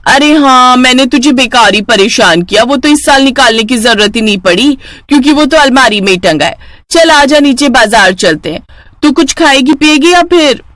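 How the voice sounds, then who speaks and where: A woman's voice: high voice, lively intonation, normal speed, a bit bright, no room sound, voice pushed up. A woman voicing a haughty, boastful rich elder sister who bosses people around in a storybook tale. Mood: bossy. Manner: boastful, ordering, dismissive.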